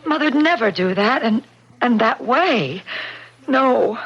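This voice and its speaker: A woman's voice: fairly high pitch, expressive, slow, fairly bright tone, slightly rough, exaggerated voice. A woman playing a troubled grown daughter in a radio mystery drama, with a hesitant, faltering manner. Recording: muffled vintage radio drama recording.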